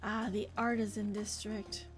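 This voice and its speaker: A chatty, expressive woman livestreaming a game in a casual, rambling way and talking to her viewers. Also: medium pitch, a little slow, faint music behind. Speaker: live game streamer. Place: indoor home office.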